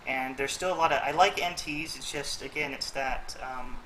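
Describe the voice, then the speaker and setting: A man's voice: mid-range voice, moderate pace, bright, forceful tone, slight background noise, low-quality recording. A man hosting a casual chat, thoughtful and rambling, with plenty of fillers. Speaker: host.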